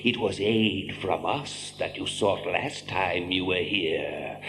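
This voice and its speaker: A malicious court counsellor in an audio drama, sly and insolent. He drags his words out very slowly, with a mocking pitch that swoops about. The hall rings around him. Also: a little hiss or hum. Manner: slow, insinuating, mocking. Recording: reverberant hall, faint music behind.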